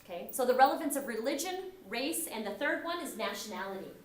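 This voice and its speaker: Woman teacher, middle-aged, chatty lecture, voice a little high, roomy echo. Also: fast. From United States. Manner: conversational, animated, acts out quoted remarks. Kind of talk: recorded classroom lecture. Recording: echoey room.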